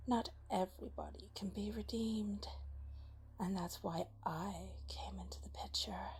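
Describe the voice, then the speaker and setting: A woman playing a cunning, vengeful female spirit of darkness in an ASMR roleplay, speaking in a breathy, taunting, deliberate and persuasive way. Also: mid-range voice, a little hiss or hum, rough recording. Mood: bitter, scornful. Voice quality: breathy whisper. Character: cunning vengeful female dark spirit, seductive punisher of the guilty.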